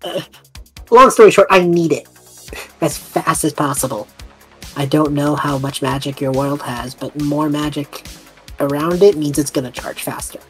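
A woman's voice: low-pitched, moderate pace, soft background music, natural voice. A woman voicing an awkward, casual young male traveler in a tabletop roleplaying game. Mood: urgent.

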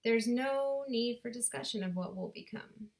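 A woman in her thirties performing her own spoken word poem in a deliberate, rhythmic way, recorded on a webcam in her bedroom. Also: fairly high pitch. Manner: slow, deliberate rhythmic delivery with dramatic pauses. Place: bedroom at home. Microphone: webcam at desk distance.

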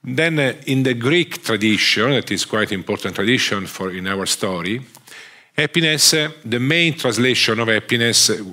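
A middle-aged man from Italy with a strong Italian accent, giving an academic lecture on a theatre stage, halting often with fillers and restarts. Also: medium pitch, animated, pushed and bright. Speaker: guest lecturer. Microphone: headset.

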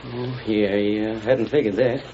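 An actor playing an excitable, folksy ranch cowhand with an American Western accent in a 1940s radio drama. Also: male voice, lowish voice, normal speed, lightly acted. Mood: astonished, eager. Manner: drawling, easily astonished, eager. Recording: dull, noisy 1940s radio recording.

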